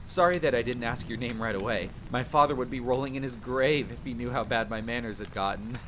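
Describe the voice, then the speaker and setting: An actor playing a friendly, talkative, excitable young spearman in an immersive fantasy roleplay audio drama, with an eager, bantering manner. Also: a little fast, natural voice. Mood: curious, jovial. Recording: constant rain and rumble behind voice. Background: rain, campfire ambience, rolling thunder.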